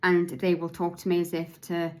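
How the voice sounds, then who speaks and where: Woman, lowish voice, halting speech with restarts, at times monotone. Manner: says her voice is sometimes monotone; halting, with frequent restarts and repeated words. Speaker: podcast host.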